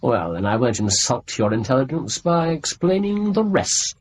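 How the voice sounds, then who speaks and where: An actor playing a brilliant, imperious Victorian gentleman detective with an English accent, precise, sardonic and theatrical, in a radio drama. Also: medium pitch, big pitch swings, moderate pace, husky. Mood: sardonic, assured.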